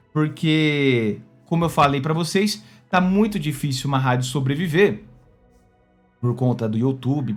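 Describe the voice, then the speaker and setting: A Brazilian man hosting a casual opinion video, talking to viewers in an expressive, conversational way that often seeks their agreement. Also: on the high side. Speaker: YouTube channel host.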